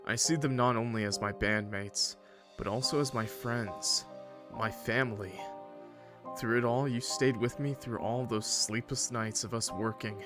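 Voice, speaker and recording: A man voicing a young boyband frontman in a visual novel, giving soft, earnest, showily sincere speeches. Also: on the low side, close to an everyday voice. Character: young male boyband frontman, showy sincere public charmer. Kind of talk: visual novel character reading.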